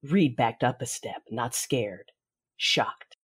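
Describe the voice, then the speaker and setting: An actor playing an embittered, vengeful Sith lord, narrating in the first person with a grave, commanding, deliberate delivery in an audio drama. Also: male voice, medium pitch, a bit bright, husky. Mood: cold, shaken. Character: embittered male Sith lord, cold, grieving, newly vengeful.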